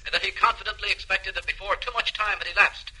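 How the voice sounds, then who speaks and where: An actor playing a brisk, impersonal newsreader delivering a vintage bulletin through a tinny radio. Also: male voice, high voice, steady tone, sharp tone, husky, full character voice. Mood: brisk, formal. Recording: noisy, tinny old radio broadcast.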